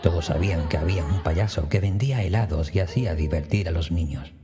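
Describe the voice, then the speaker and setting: Very deep-voiced man narrating horror over music. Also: a little fast. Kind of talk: scripted creepypasta narration, told in first person.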